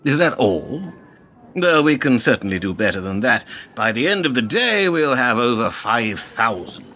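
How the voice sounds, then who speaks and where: A man's voice: medium pitch, very animated, moderate pace, bright, forceful tone, slight background noise. A man acting in a radio play as a smug, cynical older political fixer who talks in a pompous, wordy, condescending way. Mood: smug, lecturing.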